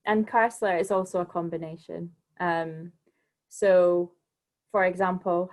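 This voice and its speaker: Low-voiced woman speaking on a panel. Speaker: arts organisation director on a panel. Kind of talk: spontaneous panel talk.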